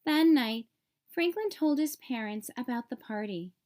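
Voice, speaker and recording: Female storybook narrator, high register, expressive read-aloud delivery for kids. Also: unhurried.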